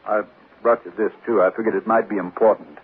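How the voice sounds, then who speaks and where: Businesslike male sheriff, brisk pace, clipped, 1940s radio acting, narrow noisy recording. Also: fairly low pitch, steady tone, breathy edge. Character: matter-of-fact small-town male sheriff.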